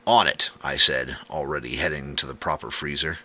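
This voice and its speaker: A man's voice: very deep voice, steady tone, brisk, sharp tone, no echo. A man reading a first-person story aloud as a dramatic audiobook narrator.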